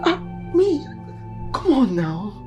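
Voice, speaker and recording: A man's voice: very high-pitched, sing-song, takes it slowly, a little mellow, muffled recording, soft background music, exaggerated voice. A man playing a jealous young boyfriend who pleads and stammers with his partner. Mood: pleading.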